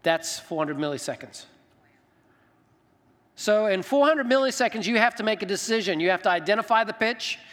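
Male minister from Zimbabwe, middle-aged, higher voice, conversational preaching, big hall reverb. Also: expressive, moderate pace, fairly bright tone. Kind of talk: conversational sermon to a congregation. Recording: long reverberant hall sound.

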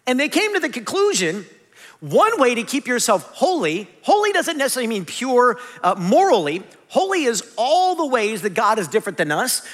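A man in his forties preaching a conversational sermon, animated and self-deprecating. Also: extremely high pitch. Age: 40s. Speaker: pastor. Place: large church hall. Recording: long hall reverb.

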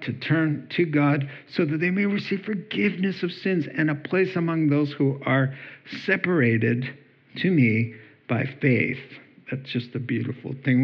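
A man preaching a sermon in an animated, jokey, conversational way. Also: on the high side, husky, lo-fi sound. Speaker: pastor.

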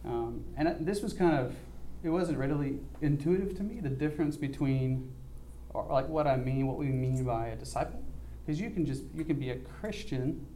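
An earnest young man recounts a mission trip in a halting, conversational way, filling pauses with ums. His voice is dark and mellow. A faint hiss sits under the recording. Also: medium pitch, rough recording. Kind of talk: church mission report, casual.

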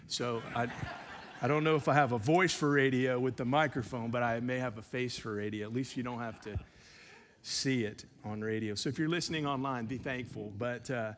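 A man who is a pastor, giving an evening church teaching with a relaxed, self-deprecating manner, many fillers. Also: medium pitch, moderate pace, a little hiss or hum. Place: church sanctuary. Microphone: handheld microphone.

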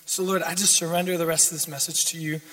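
Young guy preaching with a hoarse voice, pitched high, getting louder and more intense. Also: flat delivery, fast talker. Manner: preaching with rising intensity, repeats key lines. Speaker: guest preacher. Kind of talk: sermon. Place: church sanctuary. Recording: long church reverb.

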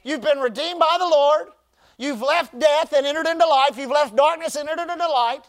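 High voiced guy preaching, call and response, stretches out big lines. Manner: call-and-response preaching, emphatic drawn-out phrases. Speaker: pastor. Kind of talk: sermon.

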